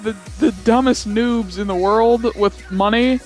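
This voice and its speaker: A man's voice: high-pitched, steady tone, measured pace, faint music behind. A young man chatting casually as he tells a gaming story, giggly and rambling, often repeating himself. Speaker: gamer telling a story.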